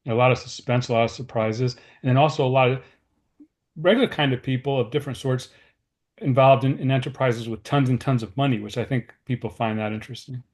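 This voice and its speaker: Man, medium-pitched voice, actor talking informally in a discussion. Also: steady tone, fairly quick, a little mellow. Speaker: actor at a table read. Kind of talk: casual group discussion.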